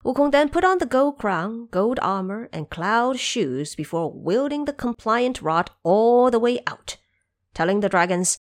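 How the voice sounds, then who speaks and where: A woman's voice: fairly high pitch, animated, unhurried. A woman narrating a fantasy audio drama from a script in a theatrical storytelling manner.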